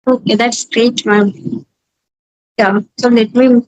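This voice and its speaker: High-voiced Bengali Indian woman learning English. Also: flat delivery, slow pace, darkish tone, dry recording, low-quality recording. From West Bengal, India. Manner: non-fluent learner English, simple phrasing. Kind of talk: practice conversation.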